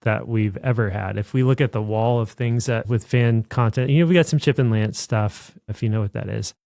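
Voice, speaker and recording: A man's voice: on the low side, brisk, gravelly, warm tone, dry recording, studio quality. A man co-hosting a podcast, talking enthusiastically in long run-on sentences while promoting a community for listeners. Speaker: podcast co-host. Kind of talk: casual podcast chat, promo pitch. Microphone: studio microphone.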